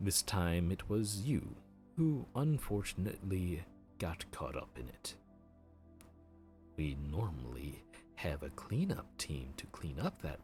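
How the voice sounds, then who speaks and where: A man voicing a helpful grim reaper clerk in an audio roleplay, polite and bureaucratic as he walks through the paperwork. The voice sits very low but moves up and down a lot. Soft music plays faintly underneath. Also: heavily acted. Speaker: underworld front-desk worker.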